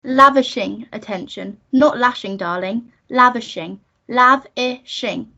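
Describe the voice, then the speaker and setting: A woman's voice: a little high, slow pace, gravelly, pushed and bright, full character voice. A woman playing a fussy, gushing upper-class English boarding-school girl in a comic radio melodrama, on a dull recording. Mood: prim, scolding.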